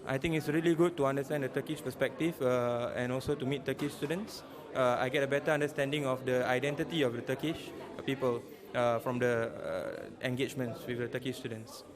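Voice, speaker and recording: A man's voice: medium pitch, fairly even intonation, fairly quick, few pauses. A young man from Singapore answering interview questions hesitantly, with frequent "uh" fillers. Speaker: student interviewee. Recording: crowd chatter behind.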